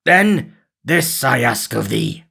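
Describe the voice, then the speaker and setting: A man's voice: medium pitch, very animated, slow, vocal fry, bright, forceful tone, slightly rough, pitched above the performer's own voice, full character voice. A man voicing an outlaw who fakes a harsh voice in disguise.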